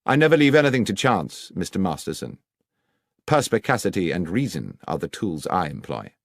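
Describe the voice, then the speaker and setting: A man playing a brisk, self-assured gentleman detective with a crisp, formal, commanding manner in an audiobook. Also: low voice, big pitch swings, no room sound, studio quality, full character voice. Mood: firm.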